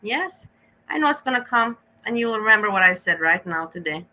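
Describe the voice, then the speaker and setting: A woman's voice: mid-range voice, expressive, fairly quick, bright, forceful tone. A woman with an Austrian accent giving a profane, scolding rant while reacting to clips. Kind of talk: ranting reaction commentary.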